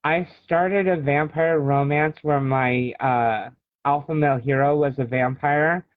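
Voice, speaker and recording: A man's voice: fairly high pitch, unhurried, dry recording. An American man being interviewed as an author, talking casually and explaining at length like a storyteller. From United States. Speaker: guest author. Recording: thin phone-like call audio.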